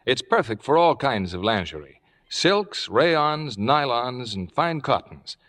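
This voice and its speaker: A man's voice: mid-range voice, sing-song, unhurried, fairly bright tone. A man announcing on a 1950s radio show, reading scripted copy in a polished, formal, promotional manner.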